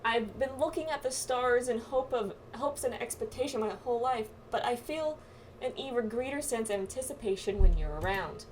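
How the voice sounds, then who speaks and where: A woman's voice: on the high side, normal speed, lightly acted. A woman voicing a wistful, earnest bodiless spirit from a game, with tender delivery. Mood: tender longing. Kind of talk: reading game dialogue aloud. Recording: clear background noise.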